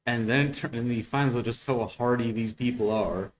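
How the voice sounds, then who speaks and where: A man gives casual reaction commentary in a middling pitch. He speaks off the cuff, haltingly working out his thought. There is faint background noise. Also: low-quality recording. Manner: halting, thinking aloud. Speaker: reaction video host.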